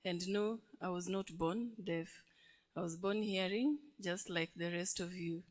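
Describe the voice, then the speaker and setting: Deaf woman giving a slow testimony. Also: on the low side. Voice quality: deaf speaker. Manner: engaging, asks the audience questions. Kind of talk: testimony to a congregation. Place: church.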